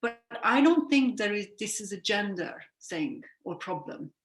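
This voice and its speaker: A woman's voice: mid-range voice, lively intonation, unhurried. A woman, a sleep coach explaining ideas in an earnest, reflective way and sometimes correcting herself mid-sentence. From Hungary. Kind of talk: explanatory interview talk. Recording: roomy echo.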